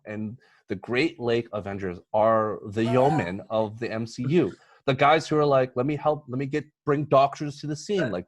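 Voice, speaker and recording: Excitable man rambling through a casual pitch. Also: dry recording. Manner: rambling, excitable, acts out imagined quotes. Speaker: podcast panelist. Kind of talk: casual comedic movie pitch.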